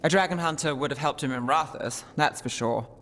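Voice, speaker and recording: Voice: medium pitch, brisk, a bit bright, natural voice. An actor playing a practical, concerned companion in a video game, earnest and matter-of-fact. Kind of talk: naturalistic video game acting. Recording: reverberant hall.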